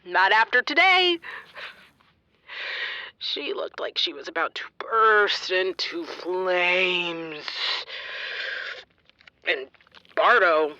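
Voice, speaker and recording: A woman's voice: lowish voice, lively intonation, very slow, pauses often, bright, forceful tone, dry recording, dull audio, full character voice. A woman playing a troubled, self-doubting young radio interviewer in a naturalistic audio drama. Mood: tipsy, despondent. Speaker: radio reporter.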